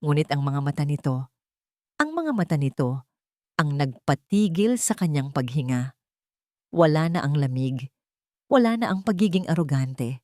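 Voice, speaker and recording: A woman's voice: deep voice, very animated, normal speed, pauses often, mellow, dry recording, studio quality. A woman with a Filipino accent reading a scripted romance story as a dramatic narrator.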